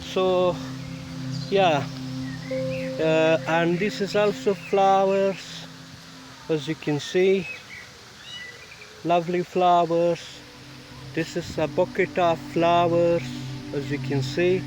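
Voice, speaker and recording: A man from Afghanistan talks slowly and haltingly as he films a park on his phone, with frequent pauses and fillers. His voice sits a little high for a man. Loud music plays under his speech throughout. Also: steady tone. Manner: hesitant, many "uh" fillers. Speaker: vlogger. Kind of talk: casual walking tour vlog. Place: outdoor park. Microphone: phone held while walking.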